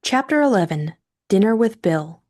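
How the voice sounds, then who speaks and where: A woman's voice: medium pitch, very animated, normal speed, darkish tone, dry recording, studio quality. A woman narrating a scripted story as an audiobook co-host.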